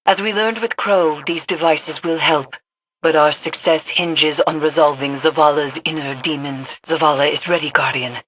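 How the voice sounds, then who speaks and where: A woman voicing a grave mystical guide to soldiers in a video game, solemn, deliberate and intense. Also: deep voice, steady tone, fairly bright tone. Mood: grave, ominous. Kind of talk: dramatic video game voice acting.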